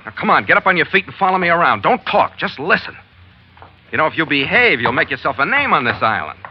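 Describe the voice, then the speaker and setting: Animated tough-guy sea captain, vintage radio. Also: on the high side, fast talker, slightly rough. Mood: angry, commanding. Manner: clipped, slangy tough-guy talk. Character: tough, wry, hard-boiled ship captain and narrator. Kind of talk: old-time radio drama acting. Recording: dull, lo-fi vintage radio recording.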